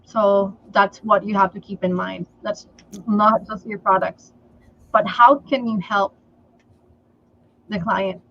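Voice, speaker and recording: A woman from the Philippines whose first language is Tagalog, giving sales advice in a livestream interview and often pausing on "okay" and "um". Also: mid-range voice, fairly even intonation, muffled recording. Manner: slow and deliberate, many fillers like okay and um. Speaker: sales trainer guest.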